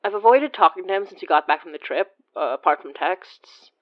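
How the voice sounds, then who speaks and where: A woman in her twenties playing an awkward, self-deprecating young woman in a naturalistic audio drama, rambling haltingly with sighs. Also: fairly low pitch, animated, muffled recording, close to an everyday voice. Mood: sheepish, lovesick. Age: 20s. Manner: halting, sighing, rambling confessional. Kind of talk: naturalistic audio drama acting, phone monologue.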